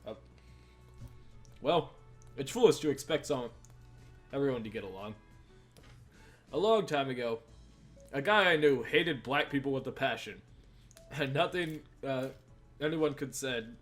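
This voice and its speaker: A man's voice: medium pitch, expressive, moderate pace, long pauses, fairly bright tone. Man reading a game aloud over music. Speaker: host reading a visual novel. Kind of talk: let's play narration with joking banter.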